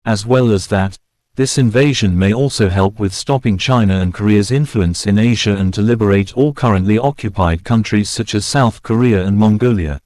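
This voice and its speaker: Casting: male actor as grave political official, low voice, formal speechmaking for a war drama, dry recording. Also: darkish tone, dry recording, lightly acted. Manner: formal, measured oratory. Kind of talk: scripted political address in mock war scenario.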